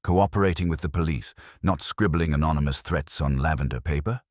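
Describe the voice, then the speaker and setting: A man voicing a brisk, defensive village woman in a mystery story, snappy and indignant. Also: rumbling low, darkish tone, dry recording, studio quality. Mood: wary.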